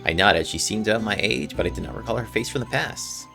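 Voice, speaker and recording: A man's voice: fairly low pitch, animated, fast, vocal fry. A man hosting a gameplay video, casually commenting and reading game text aloud in an informal way. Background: faint game music.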